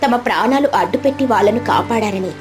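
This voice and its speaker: A woman reading a scripted children's story as storyteller, with dramatic, expressive delivery. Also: a little high, fast. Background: faint background music.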